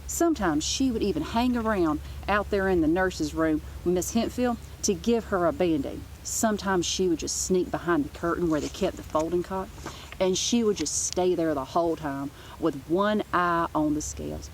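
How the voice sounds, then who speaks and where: A woman with a slightly low voice narrates a children's book aloud. Her storytelling is informal, and there is faint noise in the background. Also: moderate pace, poor audio. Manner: informal, with asides.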